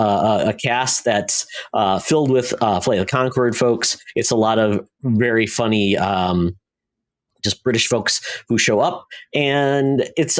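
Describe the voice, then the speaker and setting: A man with a mid-pitched voice talks casually as a podcast co-host. He rambles through his review in a halting way, often breaking off and restarting his own sentences. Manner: halting, rambling, self-interrupting.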